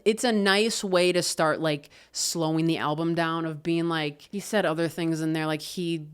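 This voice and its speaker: A woman casually talking through an album review, loose and expressive, swearing and laughing often. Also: fairly low pitch, clear, resonant tone. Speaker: music reviewer.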